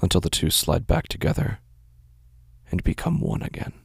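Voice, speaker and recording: Low, gravelly, haunted narrator. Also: male voice, very animated, no room sound, exaggerated voice. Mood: dread, mounting horror. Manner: halting, intense, fragmented phrasing. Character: haunted, anguished man narrating his horrifying visions. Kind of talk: first-person audio drama monologue, scripted narration. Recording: music bed behind the voice. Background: music.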